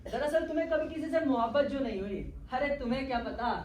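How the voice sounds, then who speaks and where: An actor playing a dreamy, stubborn young elder brother in love in a stage play, with dramatic, flowery delivery. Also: male voice, very high-pitched, quick pace, a little mellow. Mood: dreamy, resolute. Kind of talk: Hindi-Urdu stage play acting. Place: stage of a theatre hall. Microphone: far from the actors. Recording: echoey hall, distant mic, faint noise.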